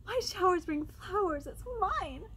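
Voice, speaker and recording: Woman as the high-voiced, proud rain. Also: animated, measured pace, a bit bright, a little hiss or hum, exaggerated voice. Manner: crying out, declaratory. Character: proud, life-giving rain, an elemental spirit.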